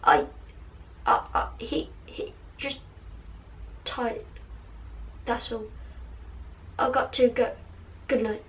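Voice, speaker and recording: A woman voicing a worried, devoted, stubbornly caring teenage girl witch from a story, softly and hesitantly. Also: on the high side, a little slow, lightly acted. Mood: shaken, tired.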